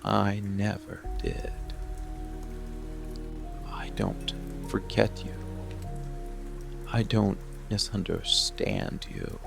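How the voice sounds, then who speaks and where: A man playing a dark, possessive yet protective monster boyfriend in intimate ASMR roleplay, gravelly and breathy, often sinking into a whisper, slow and coaxing. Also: low voice, wildly swooping pitch, exaggerated voice. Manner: slow, deliberate, coaxing, with long pauses. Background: rain and music.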